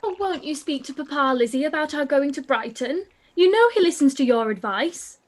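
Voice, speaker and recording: A woman voicing a whiny, excitable teenage girl in a live-read radio play, pleading and whining. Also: high voice, moderate pace.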